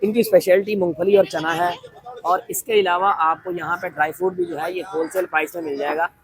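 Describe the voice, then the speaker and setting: Hoarse male vlogger in a busy shop. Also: sits high, fairly even intonation, a little fast, crowd noise. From Pakistan. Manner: chatty, interviewing shopkeepers and customers. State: sore throat. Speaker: vlogger host. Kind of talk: casual shop tour vlog. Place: busy dry fruit shop.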